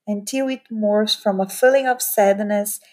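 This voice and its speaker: A woman's voice: mid-range voice, steady tone, unhurried, darkish tone. A woman giving a dramatic reading of first-person fiction. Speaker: participant reading aloud.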